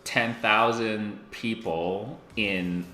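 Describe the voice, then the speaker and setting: A man's voice: fairly low pitch, steady tone, drawn-out words, bright, forceful tone. A young man chatting casually to camera at home in a daily vlog, full of "like" fillers. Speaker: vlogger.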